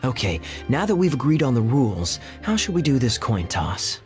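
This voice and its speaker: A man's voice: mid-range voice, big pitch swings, normal speed, music in the background, lightly acted. An actor playing a confident, challenging young man in a video game, breezy and assertive, with an assured, articulate manner. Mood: brisk, businesslike. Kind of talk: acted video game dialogue.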